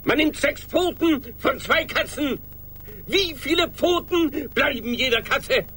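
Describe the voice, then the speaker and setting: An actor playing a pompous, pedantic logician, explaining in a precise, self-satisfied way in an absurdist radio play. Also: male voice, very high-pitched, lively intonation, measured pace, bright, forceful tone, a little hiss or hum, heavily acted. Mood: patient, instructive. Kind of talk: 1980s German radio play acting.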